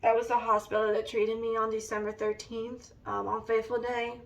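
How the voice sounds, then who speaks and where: A woman with a raspy, allergy-hoarse voice, slowly and hesitantly showing a scrapbook in a casual vlog. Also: on the high side, little pitch movement, distant mic, poor audio. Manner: slow and hesitant, many ums. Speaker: vlogger. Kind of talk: casual show-and-tell vlog.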